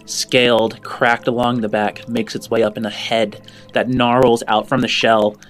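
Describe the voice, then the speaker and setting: A man runs a tabletop roleplaying show as host and game master. His mid-pitched voice moves through casual, descriptive narration pieced together in fragments, with faint music behind him. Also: level tone, measured pace, fairly bright tone.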